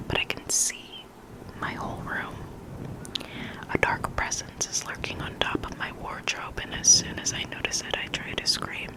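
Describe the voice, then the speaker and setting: Hushed ASMR whisperer reading creepy stories. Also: low-quality recording. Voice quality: breathy unvoiced whisper.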